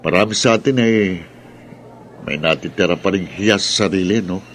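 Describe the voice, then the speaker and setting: A male actor as a young Filipino pal in a radio drama, an online creator with grievances. His voice is on the low side, conversational and grumbling, with faint noise behind it. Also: moderate pace, lightly acted. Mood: aggrieved. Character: aggrieved male buddy, a struggling online content creator.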